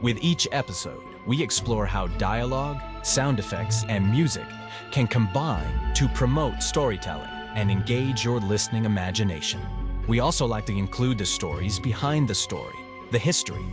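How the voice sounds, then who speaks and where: A man reading a scripted introduction as the announcer of a radio program. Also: fairly low pitch. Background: music.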